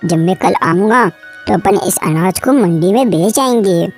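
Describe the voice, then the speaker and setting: A woman's voice: medium pitch, unhurried, soft background music, lightly acted. A woman voicing a trusting, hardworking male farmer, earnest and obliging, in a cartoon moral story. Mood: earnest, practical.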